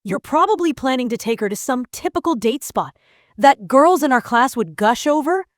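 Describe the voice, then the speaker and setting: A woman plays a playful, self-assured teenage girl in an anime-style story dub. Her voice sits on the high side, with a frank, teasing delivery. The sound is close and dry. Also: big pitch swings, normal speed, dry recording. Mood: frank, encouraging. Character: teasing, confident teenage girl, frank friend giving advice.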